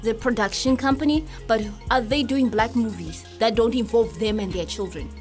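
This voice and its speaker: A woman's voice: fairly high pitch, moderate pace. A woman hosting a casual entertainment discussion in a conversational, opinionated way. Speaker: talk show host. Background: music playing behind the voice.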